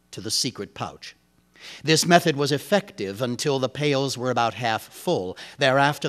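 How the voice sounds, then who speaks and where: A man reading a short story aloud as a first-person narrator, in a measured, deliberate way with emphatic pauses. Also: mid-range voice.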